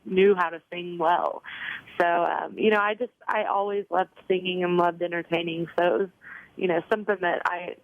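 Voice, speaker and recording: Young female country singer, casual and talkative, flat delivery, dry thin phone sound. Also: fairly low pitch, no room sound, phone-quality sound. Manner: chatty, many ums and you knows. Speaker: country singer, interview guest. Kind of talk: casual interview answers.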